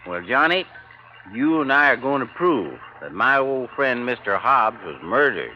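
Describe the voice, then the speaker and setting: A man's voice: mid-range voice, big pitch swings, unhurried. An actor playing a stubborn, wild old man who lives by a lake, talking in a folksy, evasive way in a 1950s radio drama. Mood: coaxing. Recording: lo-fi old radio recording with faint noise.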